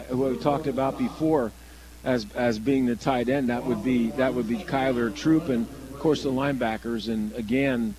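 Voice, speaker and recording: A man giving conversational color commentary on live radio football, explaining plays in a halting way and often restarting his phrases. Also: medium pitch, noisy background.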